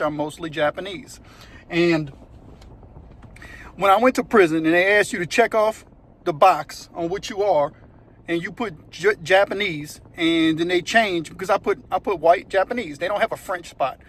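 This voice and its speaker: Middle-aged New man with a fairly high voice, telling a rambling, casual story, full of asides. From West Bank of New Orleans, Louisiana. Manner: rambling, conversational, fond of "you know what I mean". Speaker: former prisoner telling his story.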